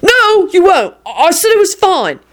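A woman's voice: mid-range voice, lively intonation, normal speed. A woman voicing a shy, awkward young male wizard who is touchy and sullen, in an audiobook story. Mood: defensive.

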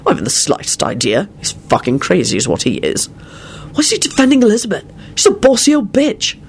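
A woman's voice: medium pitch, huge pitch swings, a little fast, gravelly, pitched above the performer's own voice. A woman voicing a resentful, baffled man in a story, muttering and hissing bitterly. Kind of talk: audiobook character acting.

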